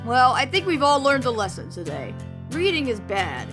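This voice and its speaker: A woman voicing a laid-back, rebellious teenage girl with deadpan humour in an animated comic dub. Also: sits high, moderate pace, music in the background.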